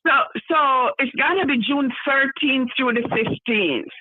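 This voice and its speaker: A woman's voice: high-pitched, unhurried, a little hiss or hum. A Jamaican woman guest, a carnival organizer, talking earnestly in a casual podcast chat. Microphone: phone call. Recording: tinny phone line.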